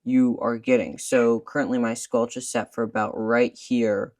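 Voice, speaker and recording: A man's voice: medium pitch, little pitch movement, moderate pace, darkish tone. Man walking through radio controls step by step. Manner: explains step by step, points at controls. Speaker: son explaining a radio. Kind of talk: casual product demonstration.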